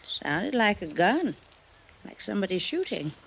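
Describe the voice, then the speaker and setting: A wistful widow in an old, slightly noisy radio serial. She speaks very slowly, with a creaky voice and a dreamy, hesitant fragility, as if lingering in memory. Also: mid-range voice, sing-song. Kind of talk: 1950s radio drama acting.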